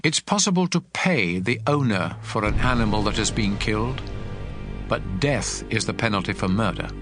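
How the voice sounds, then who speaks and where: Guy acting as god in a bible audio drama, stern lawgiver, solemn and measured, loud music behind. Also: lowish voice, expressive, natural voice. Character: God of Israel, stern commanding male lawgiver. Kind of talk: dramatized scripture reading.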